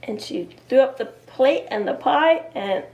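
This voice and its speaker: A woman in her thirties reading a picture book aloud, expressively and deliberately, with explaining asides to young children. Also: high-pitched, expressive, gravelly, a little mellow, slight background noise. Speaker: children's storyteller. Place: small indoor room, seated before a cloth backdrop.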